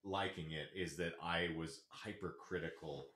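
Deep-voiced, wry man in a roomy space. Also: steady tone, normal speed, fairly bright tone. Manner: wry, wordy, mock-grandiose phrasing. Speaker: podcast co-host. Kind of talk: casual banter. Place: small home studio room. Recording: roomy, echoing sound.

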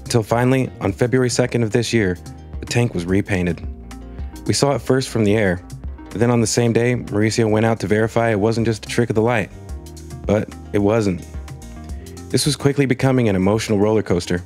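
A man's voice: lowish voice, a little fast, darkish tone, faint music behind. Man narrating with wry storytelling asides. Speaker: space news video host. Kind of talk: scripted voice-over narration.

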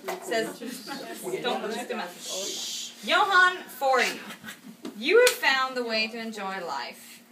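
A woman's voice: a little high, very animated, slow pace, pauses often, crowd noise. A woman reading a speech aloud at a party, with casual asides. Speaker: toast reader at a birthday party. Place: indoor house party in a kitchen. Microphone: handheld phone camera a few feet away. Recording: noisy party, phone mic at a distance.

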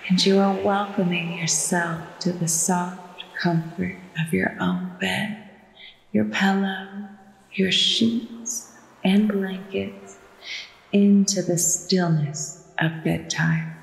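Very slow, creaky woman guiding sleep meditation. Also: fairly low pitch, steady tone, a little hiss or hum. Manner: soothing, hushed, deliberate. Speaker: meditation guide. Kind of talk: guided sleep meditation, scripted narration. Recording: echoey long reverb with music behind. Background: relaxation music with ocean waves.

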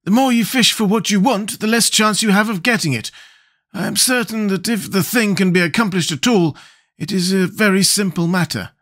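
A man's voice: high-pitched, normal speed, a bit bright, voice pushed up. A man voicing an earnest, fussy, critical living scarecrow in a storybook. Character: living male scarecrow, critical, protesting, humble about himself.

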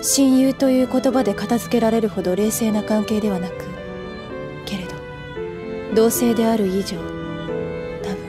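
A woman playing a melancholy, introspective young woman in a solemn, deliberate literary monologue for an audio drama. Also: fairly high pitch, fairly even intonation, music in the background, lightly acted.

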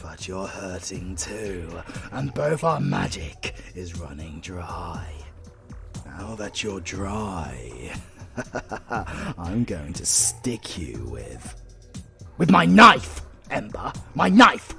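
A man's voice: low-pitched, sing-song, gravelly, over music, full character voice. A British man playing an arrogant, flirtatious supervillain in a romantic comedy audio roleplay, theatrical and easily exasperated. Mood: pained, spiteful. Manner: theatrical, swinging from slow menace to exasperated outbursts. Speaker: voice actor.

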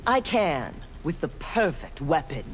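A woman's voice: sits low, very animated, measured pace, slight background noise, low-quality recording. A woman playing a proud, cold female ninja warrior and engineer in a video game, speaking in curt, threatening lines. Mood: defiant confidence. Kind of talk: video game fight intro dialogue. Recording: music behind.

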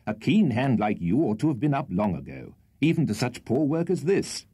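A man voicing a hearty, bossy old English country gentleman in an audiobook, brisk, commanding and jovial. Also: medium pitch, very animated, breathy edge, no room sound, studio quality, voice pushed up.

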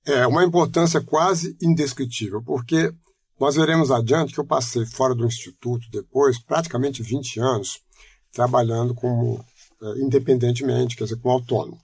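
A man in his seventies from Brazil, speaking with a Rio de Janeiro accent and giving a measured, reflective oral history interview. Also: mid-range voice, steady tone, a little mellow, slightly rough, no echo. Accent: Rio de Janeiro Portuguese. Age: 70s. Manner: measured, reflective, explanatory, frequent "né?" tags. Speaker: interviewee, retired teacher.

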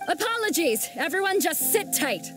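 A commanding female sea captain speaks in an assertive, declamatory game style. Her voice is high for a woman and moves in lively swings, with music behind it. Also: normal speed. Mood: impatient. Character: bold, commanding female ship captain hosting a tournament. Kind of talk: video game voice acting.

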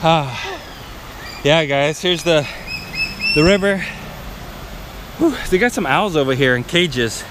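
A man's voice: on the high side, animated, takes it slowly, long pauses, noisy background. A man in his forties hosting a casual vlog, chatting to viewers as he hikes through a tropical jungle with a handheld camera. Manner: chatty, narrating to viewers while hiking. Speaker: vlogger. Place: outdoors in a tropical jungle by a river. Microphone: handheld action camera.

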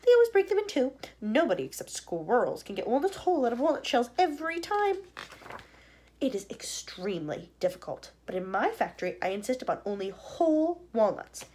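Woman doing a quirky excitable candy maker guy, bouncy and insistent. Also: on the high side, a little fast, voice pushed up. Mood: animated.

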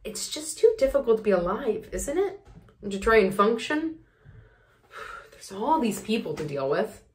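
A woman's voice: medium pitch, sing-song, moderate pace, a little mellow, lightly acted. A woman playing a rambling neurotic woman. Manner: rambling, dramatic, sighing, laughing at herself. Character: neurotic, self-absorbed woman ranting. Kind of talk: comic acting monologue.

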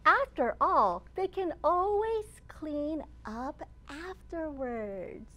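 A woman in her thirties, a children's librarian hosting storytime, reading aloud expressively and deliberately with dramatic emphasis for young children. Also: high-pitched, animated, pushed and bright. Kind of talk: animated read-aloud of a picture book for young children. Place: open library children's area, indoors.

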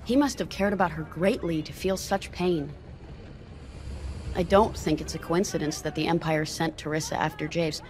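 An actress playing an earnest, empathetic rebel pilot in a video game, reflective and philosophical. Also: female voice, low-pitched, steady tone, moderate pace, darkish tone, natural voice. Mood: solemn, compassionate. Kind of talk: video game character dialogue.

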